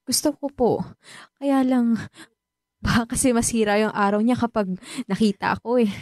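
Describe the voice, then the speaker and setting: An actress playing a hurt, polite young Filipino daughter who pleads, in a radio drama. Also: female voice, fairly high pitch, moderate pace, a little mellow, no echo, clean studio sound, lightly acted. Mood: troubled, meek.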